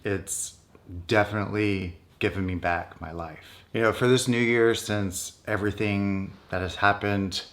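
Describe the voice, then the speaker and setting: Low-voiced North American man, candid vlogger. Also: steady tone, measured pace, fairly bright tone. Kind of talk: casual personal vlog talk.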